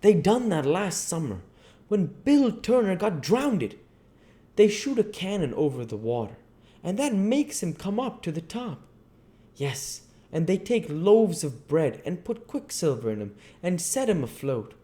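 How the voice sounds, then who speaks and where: A man voicing an excited, superstitious backwoods boy with folksy, ungrammatical speech, in an audiobook reading. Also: high-pitched, a little slow, pitched above the performer's own voice. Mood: eager explaining.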